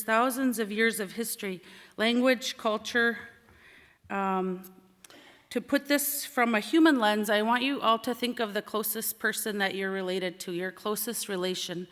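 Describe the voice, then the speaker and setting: A woman from St. Lawrence Island, Alaska, a native Siberian Yupik speaker, giving a measured conference speech as a panelist, with frequent short pauses. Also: fairly high pitch, a bit bright. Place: large indoor conference hall. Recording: echoey hall sound.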